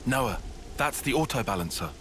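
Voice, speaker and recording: A British man playing a calm, analytical young soldier in a video game, measured and matter-of-fact. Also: mid-range voice, background noise, lightly acted. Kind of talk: video game cutscene acting.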